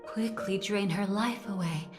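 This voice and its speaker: An actress playing a calm, composed comrade in a video game story, speaking in a cool, measured, matter-of-fact way with quiet care. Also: female voice, medium pitch, close to an everyday voice. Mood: cool, thoughtful. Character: calm, composed, caring female comrade, probing gently. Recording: music behind.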